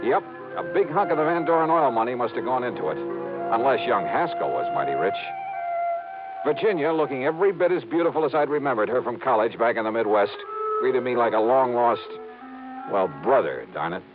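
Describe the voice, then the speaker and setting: A man's voice: medium pitch, sing-song, brisk, lightly acted. An actor playing a dry, wisecracking male insurance investigator who narrates a 1950s radio drama, on a dull old broadcast recording with music. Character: wry hard-boiled male insurance investigator. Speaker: narrator and lead.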